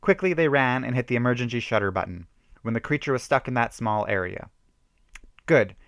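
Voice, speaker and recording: A man reads a horror story aloud in an amateur, theatrical way. His voice sits at a middle pitch, and the sound is dry and close, with almost no room on it. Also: quick pace, creaky, fairly bright tone, dry recording. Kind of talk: amateur dramatic reading of a homemade horror story.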